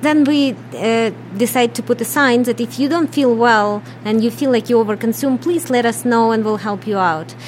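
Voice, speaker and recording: A woman's voice: fairly high pitch, normal speed, few pauses, slight background noise, low-quality recording. Middle-aged woman with a Russian accent. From Ukraine. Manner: plain, matter-of-fact, non-native grammar. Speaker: business co-owner. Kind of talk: radio interview answers.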